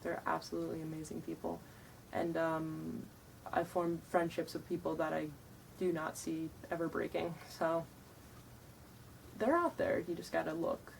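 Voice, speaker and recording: A young woman with a lowish, deeper voice talks straight to camera, blunt and casual, padding her points with ums and likes. She sounds tired. The mic sits a little away from her, with a faint hiss of noise behind. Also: creaky, darkish tone, far from the mic, rough recording. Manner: frank, blunt, chatty with many ums and likes. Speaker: vlogger giving reenactor tips. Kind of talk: casual advice talk to camera.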